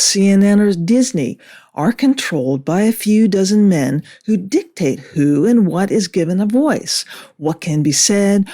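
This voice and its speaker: A woman delivering scripted political commentary in a measured, deliberate way with emphatic phrasing. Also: medium pitch, darkish tone. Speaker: video commentator.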